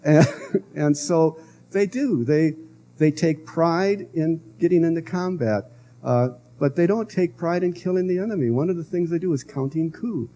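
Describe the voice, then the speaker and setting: Older man, soft-spoken, dark mellow voice, halting lecture delivery, faint hiss. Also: on the high side. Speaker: guest lecturer. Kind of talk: public lecture. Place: lecture hall. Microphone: podium microphone.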